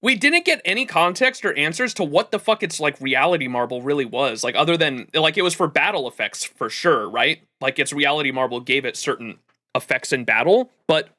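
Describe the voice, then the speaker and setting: A man hosting a livestream, giving casual, rambling, animated gaming commentary with plenty of swearing. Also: a little high, brisk. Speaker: livestream host.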